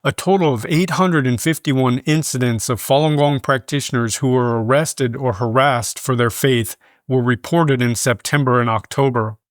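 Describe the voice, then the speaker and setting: A man's voice: medium pitch, dry recording. Man reading scripted news in a dry studio. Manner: measured, formal reading. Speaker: news reader.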